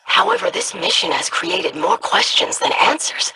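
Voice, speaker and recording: A formal, analytical commander. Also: female voice, mid-range voice, wildly swooping pitch, moderate pace, gravelly, pushed and bright, husky, heavily acted. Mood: composed. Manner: formal, inquiring tone. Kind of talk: game character voice lines.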